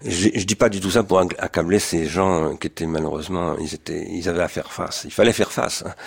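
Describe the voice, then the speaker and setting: An older man from France with a deep, gravelly voice tells his life story in an interview. He speaks in a searching way, pausing to find the right word and amending what he has just said. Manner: hesitant, searching for words, self-correcting. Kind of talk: autobiographical recollection in an interview.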